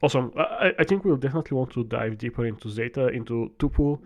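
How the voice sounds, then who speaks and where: Guy hosting a tech podcast, middle pitch, easygoing, restarts his questions. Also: fast. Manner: friendly, hesitant phrasing with restarts. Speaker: podcast host. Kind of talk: casual interview questions.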